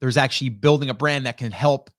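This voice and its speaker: A man's voice: mid-range voice, fast talker, dry recording, clean studio sound. A man in his late forties hosting a casual live stream as a coach, talking conversationally to his viewers and often checking in with them. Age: late 40s. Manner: conversational, greets viewers by name, rhetorical check-ins. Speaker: host, online marketing coach. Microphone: close broadcast dynamic microphone.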